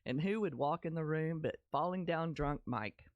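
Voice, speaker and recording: A woman's voice: sits low, normal speed, no echo, highs cut off. A middle-aged woman with a Texas accent, hosting a podcast and telling her personal story in an earnest, candid way, speaking straight to her listeners. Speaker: podcast host. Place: small podcast studio, indoors. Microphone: studio mic on stand, close, with headphones.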